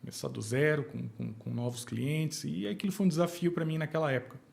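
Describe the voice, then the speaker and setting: A Brazilian man in his forties, an accountant talking as a podcast guest, calm and plain, hesitating and restarting now and then. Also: fairly high pitch, fairly quick, gravelly. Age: 40s. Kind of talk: casual interview chat.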